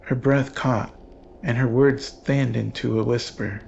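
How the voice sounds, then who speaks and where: A man narrates a horror tale in a creaky, gravelly voice. His delivery is very slow, grave and ominous, and the recording sounds dull with a little noise behind it. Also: medium pitch, fairly even intonation, darkish tone. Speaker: narrator and archivist host.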